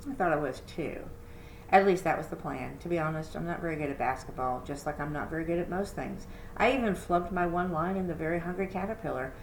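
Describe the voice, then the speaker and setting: A woman's voice: deep voice, a little fast. Woman voicing a sarcastic, hopeful schoolgirl, echoey room. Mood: hopeful, scheming. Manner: sarcastic, dramatic. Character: self-deprecating, stubbornly hopeful middle-school girl. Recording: roomy echo, background noise.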